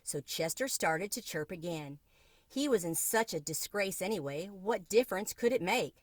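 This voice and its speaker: A woman with a fairly low voice reads a children's book aloud. The recording is close and dry, and she narrates as a storyteller. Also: expressive, moderate pace, no echo.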